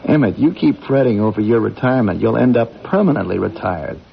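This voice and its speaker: An actor playing a teasing, practical astronaut crewmate with an American accent in a 1980s radio drama. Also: male voice, lowish voice, expressive, brisk, creaky, slight background noise. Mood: reassuring, breezy. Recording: muffled old radio broadcast, faint music.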